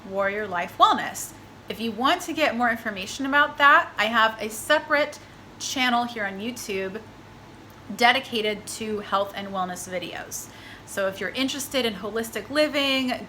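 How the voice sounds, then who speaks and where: A woman's voice: mid-range voice, sharp tone, a little hiss or hum, poor audio. A young woman from Texas, chatting upbeat in a casual vlog introduction. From Texas, USA. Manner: upbeat and chatty, with light laughs. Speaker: vlogger, military spouse.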